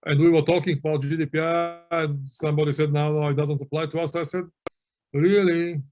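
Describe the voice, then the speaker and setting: A man's voice: fairly high pitch, little pitch movement, brisk, mellow. A middle-aged man from Bulgaria giving a webinar lecture, telling anecdotes in a conversational way with many fillers and restarts. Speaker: webinar presenter on auditing.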